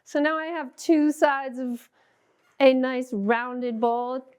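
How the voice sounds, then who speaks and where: A woman's voice: sits high, slow, a little mellow, clean tone. A middle-aged woman hosting and teaching a step-by-step drawing lesson, patient and encouraging. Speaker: art teacher and show host.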